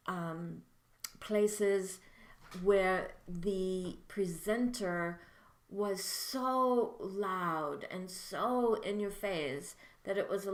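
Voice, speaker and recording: A soft-spoken woman giving a friendly, chatty lesson to camera as a teacher of mediumship. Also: lowish voice, reverberant. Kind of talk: casual instructional talk to camera.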